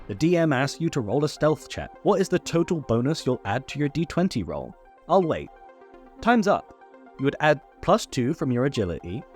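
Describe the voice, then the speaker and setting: A man hosting a tutorial, reading scripted explainer narration in a structured teaching manner with light playful asides. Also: medium pitch. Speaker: tutorial host. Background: background music.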